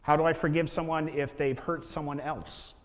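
A man's voice: on the high side, little pitch movement, moderate pace. Man preaching in a reverberant church. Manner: conversational preaching, rhetorical questions, acted-out inner voice. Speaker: pastor. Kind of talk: sermon. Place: church sanctuary with a stage. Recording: long reverb of a church hall.

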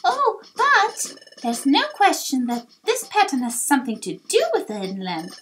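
A woman voicing a young, earnest and excitable little adventurer creature in a video game. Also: sits high, moderate pace, a little hiss or hum, heavily acted. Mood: eager, earnest. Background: game music.

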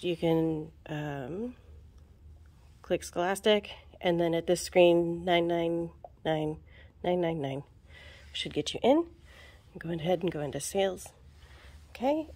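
A woman's voice: lowish voice, warm tone. Chatty woman giving a register walkthrough. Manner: chatty, with frequent ums, asides and self-corrections. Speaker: book fair volunteer trainer. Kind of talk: casual how-to walkthrough. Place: school book fair checkout counter, indoors. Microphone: phone camera held over the register.

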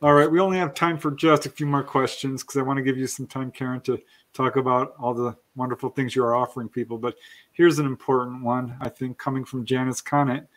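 A man's voice: mid-range voice, little pitch movement, brisk. A man hosting an interview over a video call, steadily moderating and reading out viewer questions. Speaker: host.

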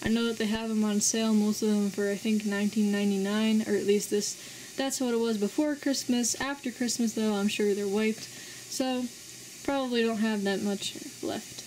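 A woman casually reviewing a toy set as host of her channel, relaxed and plainly enthusiastic, pausing often. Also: mid-range voice, slight background noise, low-quality recording. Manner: relaxed, plain enthusiasm with frequent filler pauses.

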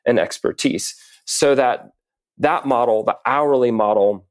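A man's voice: mid-range voice, a little mellow, no room sound. Guy on a podcast explaining stuff, takes his time, articulate, dry close sound. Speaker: podcast guest, writer and coach. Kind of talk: explanatory interview talk.

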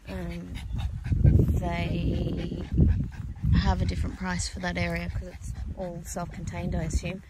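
A woman's voice: lowish voice, monotone, takes it slowly. A woman giving casual, off-the-cuff commentary as she explores a campsite, musing aloud and trailing off. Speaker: camper filming a walk-around vlog. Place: outdoors at a bush campsite. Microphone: handheld phone or camera, behind the lens. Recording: outdoor handheld recording with background noise.

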